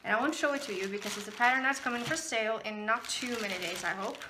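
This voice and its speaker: A woman's voice: a little high, quick pace, noisy background. A woman whose native language is Norwegian, hosting a casual knitting podcast and chatting freely with frequent laughs and fillers. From Norway. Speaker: podcast host, knitting designer. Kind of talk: casual chat.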